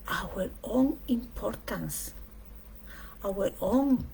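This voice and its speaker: Female speaker, for a reflective spiritual talk; unhurried delivery in short weighted phrases, gravelly creak in the voice. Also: mid-range voice, expressive, dark tone, slight background noise. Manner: deliberate, emphatic phrasing in short pieces with pauses.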